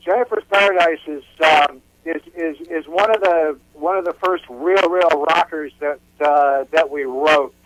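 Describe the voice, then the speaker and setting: Higher-voiced man, halting casual talker. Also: fairly bright tone, poor audio. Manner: halting, repeats words as he explains. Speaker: band musician, interview guest. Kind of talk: casual interview chat.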